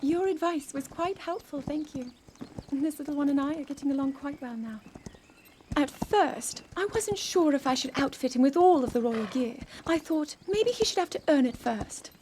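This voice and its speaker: A young woman voicing an earnest young princess who is burdened by duty and doubts herself, speaking softly in a game cutscene. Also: high voice, expressive, moderate pace, slight background noise. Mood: gentle. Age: young adult. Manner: soft, pleading, searching. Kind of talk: video game cutscene voice acting.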